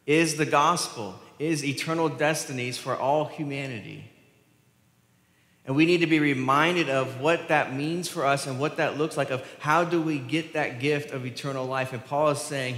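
His voice rings with long reverb through a big, echoey sanctuary. He is an American man of medium pitch, preaching from the pulpit. He explains things informally, as in conversation, and leans on repeated phrases for emphasis. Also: fairly bright tone. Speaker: pastor preaching. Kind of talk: conversational church sermon. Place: large church sanctuary, at the pulpit.

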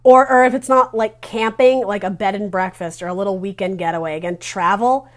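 A woman's voice: mid-range voice, fairly even intonation, fairly quick. A woman in her thirties giving a casual tarot reading, talking informally and reassuringly as she thinks aloud. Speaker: tarot reader hosting a video reading. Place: small decorated room indoors. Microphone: lavalier clipped to T-shirt.

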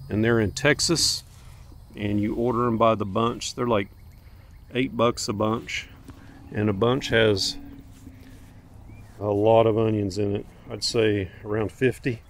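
Folksy man, slow unhurried garden tour. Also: fairly low pitch, fairly even intonation, creaky. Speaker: homestead vlogger showing his garden. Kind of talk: casual, unscripted garden tour. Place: outdoors in a garden. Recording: outdoor recording with faint ambient noise.

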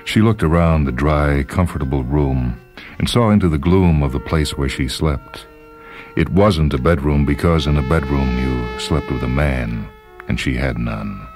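Man, extremely low voice, measured dramatic narration, literary story reading, music underneath. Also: a little mellow. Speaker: short story reader and radio host. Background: background music.

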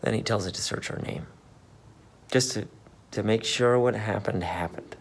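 A man's voice: on the low side, normal speed, natural voice. A man in his thirties playing a brooding, hesitant married father in a dramatic third-person monologue reading. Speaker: actor in a staged reading. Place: in a car, then beside a wall. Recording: car and ambient noise behind.

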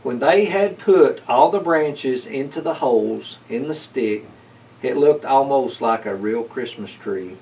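A man's voice: medium pitch, expressive, measured pace, a little mellow. Middle-aged man reading aloud, slightly distant. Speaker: storybook reader. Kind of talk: read-aloud children's story narration. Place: indoors, library with bookshelves. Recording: slightly distant mic, faint room noise.

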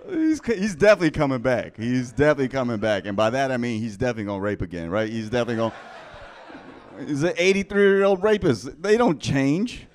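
A man in his thirties doing dry, teasing stand-up on a small club stage into a handheld mic. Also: mid-range voice. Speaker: stand-up comedian. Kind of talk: stand-up set to a club audience. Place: small comedy club stage, audience laughing.

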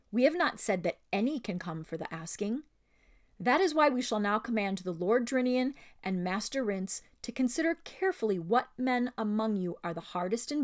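A woman's voice: medium pitch, natural voice. A woman voicing a haughty young king in a storybook, giving regal, formal, stirring speeches.

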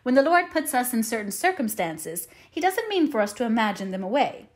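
Woman doing a stern older lady guardian in a storybook, brisk, matter of fact, no nonsense. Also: a little high, big pitch swings, pitched above the performer's own voice. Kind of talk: audiobook character voice.

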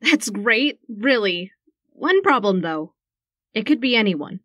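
A woman's voice: fairly high pitch, big pitch swings, takes it slowly, pushed and bright, no echo, studio quality, heavily acted. A woman playing a brash, sarcastic young woman posing as a planetary ruler in a science fiction audio drama, talking in breezy slang. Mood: skeptical, dry.

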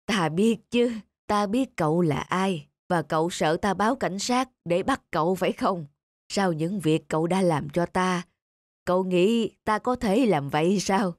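A woman voicing a kindly, forgiving old man who speaks slowly and solemnly, in an animated moral story with music behind. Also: lowish voice, no room sound, clean studio sound, close to an everyday voice. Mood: knowing, gentle.

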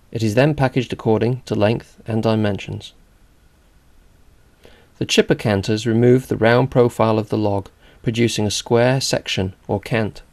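A man reading a scripted narration for a company video in an even, measured way. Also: fairly low pitch, creaky.

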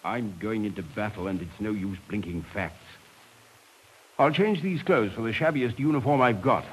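Low, bluff English officer. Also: male voice, moderate pace, husky, rough recording. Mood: bluff, resolute. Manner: clipped, bluff. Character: gruff, devoted English cavalry officer and gambler husband. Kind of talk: 1950s radio drama acting. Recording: hissy 1950s radio recording.

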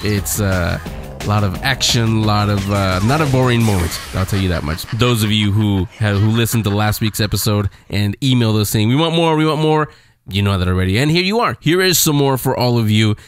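A man speaks as a podcast host, introducing a segment in a casual, conversational way. Music runs clearly underneath his voice. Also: on the low side, brisk.

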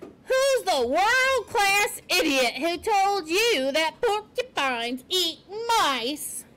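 A woman voicing a grouchy, blustering male porcupine in a children's storybook, with loud, emphatic delivery. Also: very high voice, very animated, pitched above the performer's own voice, exaggerated voice. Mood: grumbling, demanding. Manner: bellowing, drawn-out emphatic delivery. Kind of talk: children's storybook read-aloud.

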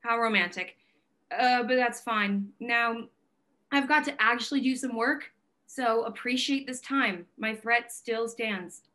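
A woman's voice: fairly high pitch, a little slow, pushed and bright. A woman playing a fiery, idealistic gallery owner in a staged play reading, speaking assertively and emphatically. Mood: stern, relenting. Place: plain indoor room, video call.

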